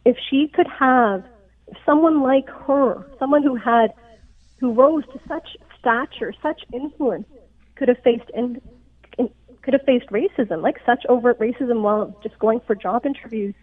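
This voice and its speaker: A woman's voice: on the high side, a little slow. A woman physician, originally from Pakistan, speaking as a radio interview guest in an earnest, explanatory way, often checking in with "right?". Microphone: phone call. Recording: tinny phone line.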